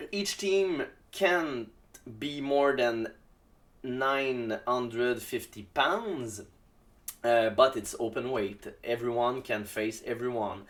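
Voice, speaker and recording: A man with a Québécois French accent, a native French speaker, giving casual solo jiu-jitsu commentary in halting English full of ums and uhs as he searches for words. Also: medium pitch.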